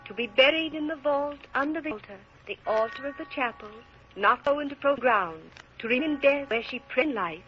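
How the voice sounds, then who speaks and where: A woman's voice: high voice, expressive, fairly quick. An actress playing a stately, pious, commanding Reverend Mother in a vintage radio drama. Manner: formal, stately, pious, authoritative. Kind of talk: 1930s-40s radio drama acting. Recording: muffled vintage radio broadcast recording.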